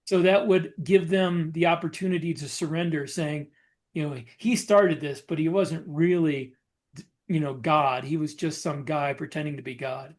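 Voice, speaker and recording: A man co-hosting a podcast and talking shop as a novelist, explaining casually in a halting way and reworking his phrases. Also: on the high side, steady tone. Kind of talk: casual discussion of writing military science fiction.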